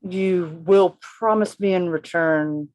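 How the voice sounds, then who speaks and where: A man's voice: high-pitched, slow pace. A man reading the part of a witty, chivalrous poet who narrates his own adventure in a radio play, in formal, theatrical language over a video call. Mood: wry, gallant.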